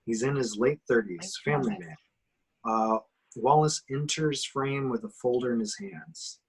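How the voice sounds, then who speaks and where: Husky man narrating a screenplay. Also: medium pitch, a little slow, rough recording. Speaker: action-description reader. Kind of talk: scripted narration of a screenplay, amateur table read.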